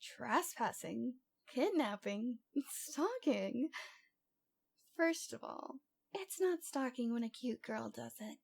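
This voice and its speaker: A woman's voice: fairly high pitch, lively intonation, slow, creaky, husky, exaggerated voice. A woman playing a cute, lovesick young stalker girl in an ASMR roleplay, with a coy, pouty, dramatic delivery. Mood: self-righteous, defensive.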